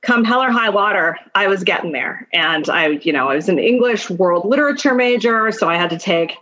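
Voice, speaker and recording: A woman's voice: mid-range voice, lively intonation, a little fast, fairly bright tone. Woman in her fifties, dull lo-fi audio. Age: 50s. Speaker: interview guest, lawyer. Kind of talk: conversational personal storytelling.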